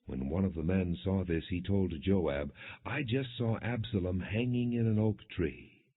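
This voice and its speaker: A man reading scripture as an audiobook narrator, in measured, clearly enunciated storytelling without dramatization. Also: low-pitched. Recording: muffled, phone-like lo-fi audio.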